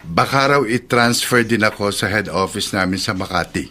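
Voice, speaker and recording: An actor playing an easygoing young husband in a Filipino radio drama, casual and playful in conversation. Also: male voice, on the low side, moderate pace, sharp tone.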